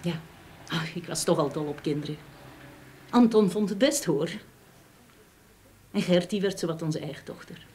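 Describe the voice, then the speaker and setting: An actress playing a frank, scornful, devoted middle-aged wife in a radio drama, with an accent from the Netherlands. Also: female voice, fairly low pitch, moderate pace, pauses often, dark tone, close to an everyday voice. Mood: wistful. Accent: Dutch from the Netherlands. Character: outspoken, wronged but devoted middle-aged Dutch wife. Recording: old radio play with background hiss.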